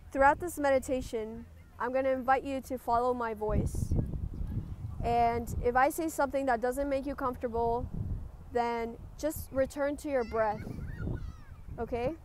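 A woman leading a group guided meditation, giving gentle, instructive cues. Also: on the high side. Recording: clear background noise, ambient sound.

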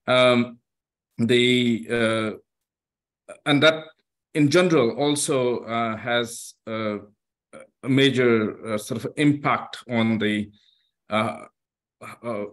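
Accented male discussant, hesitant and searching, mid-pitched voice. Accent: foreign-accented English. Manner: halting, many fillers and restarts. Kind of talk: academic discussion.

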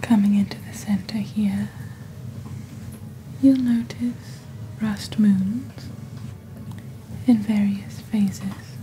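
A young woman presenting products in a soft, breathy, near-whispered ASMR delivery, deliberate and scripted, close to the microphone. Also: medium pitch, steady tone, a little hiss or hum, poor audio. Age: young adult. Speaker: ASMR host playing a shopping channel presenter.

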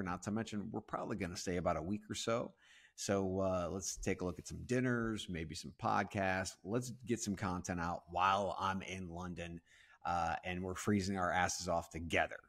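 A man's voice: low-pitched, steady tone, moderate pace. A middle-aged American man co-hosting a podcast in a casual, bantering style, close on a microphone. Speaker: podcast co-host. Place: indoor room at home, video call.

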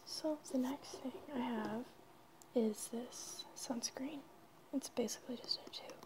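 Whispering woman doing slow ASMR. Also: a little high. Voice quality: breathy whisper. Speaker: ASMR video creator. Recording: clearly noisy home recording.

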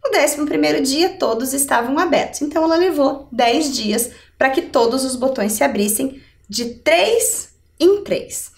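A woman's voice: high-pitched, lively intonation, moderate pace, gravelly, distant mic. A middle-aged woman teaching a televised maths lesson step by step, often checking in with questions. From Brazil. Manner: didactic, checking in with rhetorical questions. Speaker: adult education maths teacher. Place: indoor TV lesson studio. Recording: roomy echo, somewhat off the mic.

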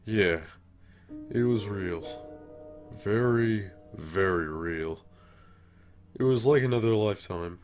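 Weary, laid-back skeleton for a cartoon comic dub, played by a man; lowish pitch, unhurried casual drawl. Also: a little mellow, soft background music. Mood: haunted, grave. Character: laid-back, weary male skeleton, secretly troubled brother. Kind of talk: animated comic dub acting.

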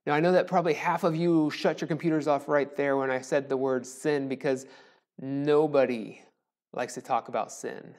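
A man in his forties preaches a recorded sermon from a small church sanctuary. He talks in a relaxed, conversational way, full of jokes and self-deprecating asides. His voice is picked up close on a lapel mic. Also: mid-range voice, moderate pace. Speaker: pastor. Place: small church sanctuary with a wooden cross behind. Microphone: lapel mic, camera framed from the chest up.

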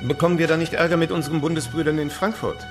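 A man's voice: fairly high pitch, flat delivery, very fast, close to an everyday voice. An actor playing a wary, imperious Habsburg statesman with a curt, aristocratic manner in a documentary drama. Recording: loud music over dramatised scene.

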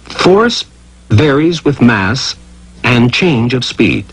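A man's voice: mid-range voice, very animated, slow, husky, poor audio. A man narrating an educational cartoon from a script in a theatrical, emphatic way, posing rhetorical questions.

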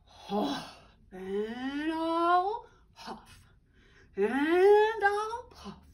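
A woman's voice: extremely high pitch, very animated, pitched above the performer's own voice, heavily acted. A woman doing a big bad blustering wolf. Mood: menacing. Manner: slow, drawn-out, loud huffing threats. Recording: distant mic.

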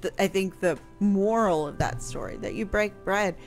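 An American woman in her thirties discussing history conversationally on radio into a close microphone, hesitant and pausing mid-thought. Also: mid-range voice, soft background music. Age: 30s. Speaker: radio history show guest expert. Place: small home room, indoors. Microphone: close boom-arm studio microphone with headset.

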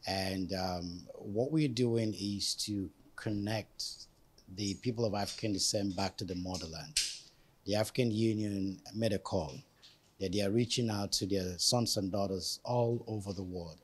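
A man's voice: lowish voice, measured pace, breathy edge. A man in his forties giving an explanatory press interview as a spokesman, speaking into a handheld microphone indoors. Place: bright indoor room. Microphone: handheld reporter's microphone.

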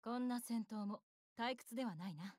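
A woman's voice: fairly high pitch, moderate pace, a little mellow, no room sound, studio quality, lightly acted. An actress playing a proud, battle-hungry young warship girl in an anime game, speaking in a blunt, self-assured, tomboyish way. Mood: fierce, battle-eager. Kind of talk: Japanese anime game voice lines.

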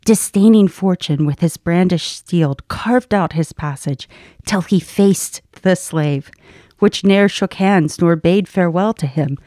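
A woman playing a wounded, loyal sergeant in a Shakespearean radio drama, declaiming gravely. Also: on the low side, unhurried, dark tone, no room sound, studio quality, natural voice. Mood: grave, admiring. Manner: declamatory, weighty storytelling.

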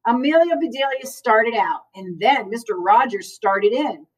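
A middle-aged woman reading aloud at children's story time in an expressive, teacherly manner. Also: fairly high pitch, a little slow. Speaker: school librarian reading aloud. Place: school library, indoors. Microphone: far camera. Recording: distant camera microphone.

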